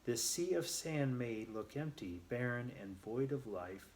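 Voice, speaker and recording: A man's voice: mid-range voice, lively intonation, a little slow, a little mellow, slight background noise, lightly acted. Man voicing male desert guide, confident, knowing, children's storybook adventurer. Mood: warning. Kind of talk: children's storybook read aloud.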